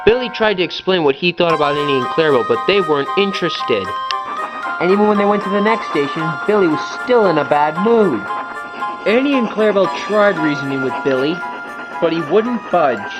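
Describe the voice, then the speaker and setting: A man's voice: a little high, expressive, brisk, loud music behind the voice, low-quality recording. A man reading scripted children's story narration as the narrator.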